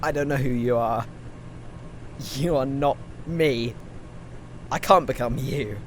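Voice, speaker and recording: A man plays a scared but defiant teenage boy in a sci-fi audio drama. He is pleading and disbelieving, with a mid-pitched voice over a faint background hum. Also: slow pace, natural voice. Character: frightened, defiant seventeen-year-old boy facing his future self.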